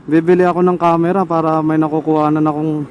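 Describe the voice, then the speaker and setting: Filipino guy motovlogging, kinda high voice, talks to himself and swears, helmet mic w some road noise. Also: little pitch movement, fast talker, darkish tone. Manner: informal, muttering to himself, swearing. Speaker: motorcycle vlogger. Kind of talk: casual motovlog chat while riding. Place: outdoors on a motorcycle in traffic. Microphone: helmet intercom microphone. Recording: noisy helmet intercom mic, traffic.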